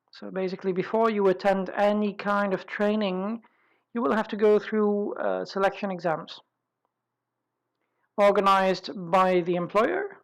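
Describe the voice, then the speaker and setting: Woman presenting an explanatory webinar. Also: mid-range voice. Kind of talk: informal explanatory webinar talk.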